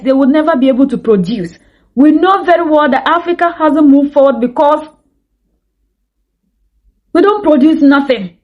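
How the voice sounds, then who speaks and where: A woman's voice: high voice, highs cut off. A woman with a Ghanaian English accent giving impassioned commentary, asking rhetorical questions in an indignant tone.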